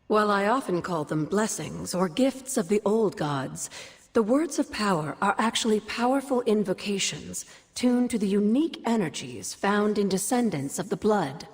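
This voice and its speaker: A woman's voice: mid-range voice, expressive, moderate pace, lightly acted. Woman playing wry sorceress mentor in a reverberant hall. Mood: solemn, knowing. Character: wry older sorceress mentor, fond and knowing. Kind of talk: game cutscene dialogue, expository storytelling.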